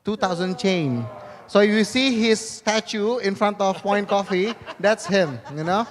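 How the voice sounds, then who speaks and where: High-voiced man hosting a panel. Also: unhurried, slight background noise. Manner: chatty, jokey, mixes two languages. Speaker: panel moderator. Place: conference hall. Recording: long reverb of a big hall.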